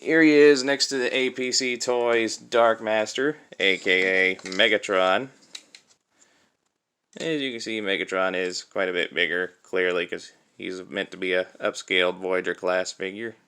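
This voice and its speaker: A young man giving a casual, unscripted toy review in a chatty, halting way, recorded on a cell phone. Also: low-pitched, steady tone, bright, forceful tone, smooth. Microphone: cell phone used as camera.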